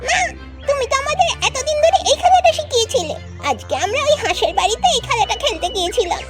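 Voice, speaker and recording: Little cartoon crow chick: eager young troublemaker, extremely high, animated pitch. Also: female voice, fast talker, soft background music. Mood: gleeful, eager. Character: mischievous little crow chick, gleefully destructive. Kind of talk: cartoon story voice acting.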